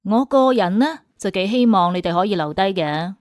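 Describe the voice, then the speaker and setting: A woman's voice: mid-range voice, expressive, normal speed, a little mellow, dry recording, clean studio sound, close to an everyday voice. A woman voicing a cool, authoritative female boss in a composed, formal manner. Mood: cool, businesslike.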